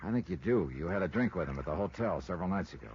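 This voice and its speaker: An actor with an American accent playing a wry, sardonic investigator in 1950s radio detective style. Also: male voice, low-pitched, lively intonation, rapid-fire, a little mellow, a little hiss or hum. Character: wry, hard-boiled male insurance investigator. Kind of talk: 1950s radio detective acting, first-person narration. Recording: muffled old radio recording with music.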